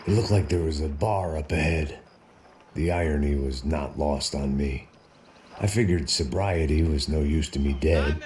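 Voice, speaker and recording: An actor playing a world-weary, self-loathing middle-aged American ex-bodyguard in a video game, with deadpan, sardonic noir delivery. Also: male voice, rumbling low, moderate pace, a little hiss or hum. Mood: bitter, resigned. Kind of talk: noir scripted narration and game dialogue.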